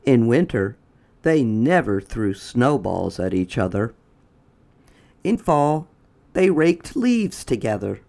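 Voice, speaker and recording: A storyteller reads a children's picture book at an unhurried pace. The delivery is expressive and deliberate, with the pitch moving widely from phrase to phrase. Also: vocal fry.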